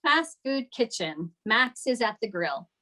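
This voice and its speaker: A woman's voice: a little high, sing-song, unhurried, bright, forceful tone. A woman narrating scripted stage directions at a table read.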